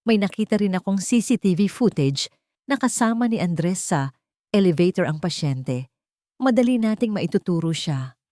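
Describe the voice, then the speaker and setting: A woman's voice: fairly low pitch, lively intonation, moderate pace, mellow, dry recording, clean studio sound, natural voice. A woman narrator voicing a hesitant, guilt-ridden male doctor in a dramatic audio story, uneasy and resigned. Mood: resigned, plotting.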